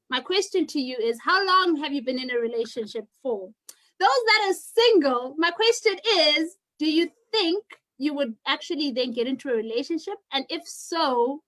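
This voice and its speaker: Woman host, high bright voice, playful, calls everyone honey on a video call. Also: poor audio. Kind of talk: casual video-call chat.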